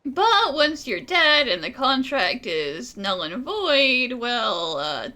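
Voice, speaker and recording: A woman's voice: on the high side, sing-song, takes it slowly, sharp tone. A woman giving casual reaction commentary while she plays a video game. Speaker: gaming streamer.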